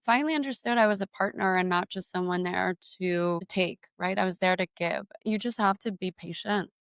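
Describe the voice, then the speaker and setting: Young woman guest, clear, smooth voice, dry close mic, chatty storyteller. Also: mid-range voice, no room sound. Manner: candid storyteller, frequent "like" fillers. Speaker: guest entrepreneur. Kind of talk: interview conversation.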